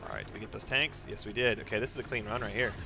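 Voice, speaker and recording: A man's voice: lowish voice, fairly even intonation, rapid-fire, vocal fry, noisy background. A man giving live speedrun commentary as he plays, narrating his own moves, repeating phrases and using mild exclamations instead of swear words. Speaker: video game streamer and speedrunner.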